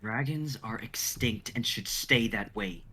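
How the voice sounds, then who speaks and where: Man playing a boastful, forceful dragon hunter. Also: fairly low pitch, unhurried, slightly rough, heavily acted. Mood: defiant. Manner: pompous, declamatory. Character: boastful, hot-headed male dragon hunter. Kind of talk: dramatic script reading.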